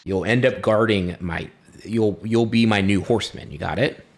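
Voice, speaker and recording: A man's voice: on the low side, normal speed. A man voicing an irritated undead male dwarf who guards his crypt home, exasperated and casual, in a tabletop game. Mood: weary, annoyed.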